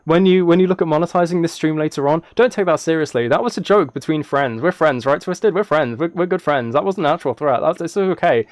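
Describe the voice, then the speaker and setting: Higher-voiced man, playful livestream chat. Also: no room sound. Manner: playful, teasing, rambling asides to viewers. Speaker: livestreamer and host. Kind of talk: casual livestream chat while playing a video game. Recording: clear noise with key clicks and typing. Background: keyboard typing and clicks.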